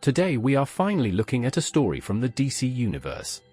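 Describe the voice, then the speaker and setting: A man narrates a scripted pop culture explainer as its host. His voice sits at a medium pitch and moves with animated intonation. Faint music plays behind him. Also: quick pace, husky.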